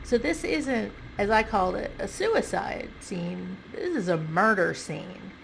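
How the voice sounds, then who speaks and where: A woman's voice: mid-range voice, lively intonation, measured pace, vocal fry, a bit bright, a little hiss or hum. A woman with a stuffy nose giving casual, self-correcting commentary on a video game, thinking aloud as she goes.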